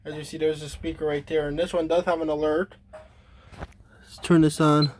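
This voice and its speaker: Man, slightly high voice, casual hobbyist demo, halting, unscripted, trailing sentences. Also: level tone, a little mellow. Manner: halting, trails off mid-thought. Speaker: hobbyist demonstrating a weather radio collection. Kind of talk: casual unscripted product demonstration.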